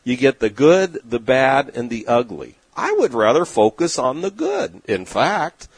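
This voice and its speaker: A middle-aged man, an investment manager, giving plain-spoken, opinionated market commentary with folksy turns of phrase and frequent pauses. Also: medium pitch, wildly swooping pitch, breathy edge, no echo. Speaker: investment manager and newsletter writer. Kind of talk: direct-to-camera market commentary.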